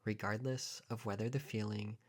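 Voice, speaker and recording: A man with a fairly low voice narrates a guided meditation. He speaks calmly and deliberately, in short phrases set apart by long pauses.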